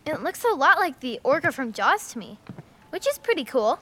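An actress playing a plucky, curious young daughter with an eager, sarcastic edge in a family audio drama. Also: female voice, high-pitched, sing-song, normal speed, fairly bright tone, slight background noise.

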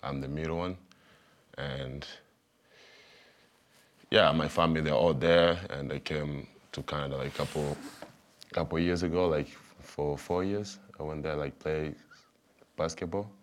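Young Tanzanian man, extremely deep voice, soft-spoken, halting answers. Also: fairly even intonation. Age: young adult. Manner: soft-spoken, halting, simple phrasing with fillers. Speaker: college basketball player guest. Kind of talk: casual interview answers.

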